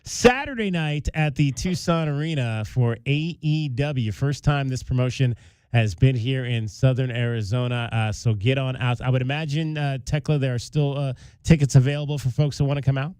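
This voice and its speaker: A man's voice: medium pitch, moderate pace, a little mellow, clean tone, no room sound. A man hosting a sports talk radio show, interviewing a guest in a friendly way with many restarts. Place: radio studio. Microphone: studio mic.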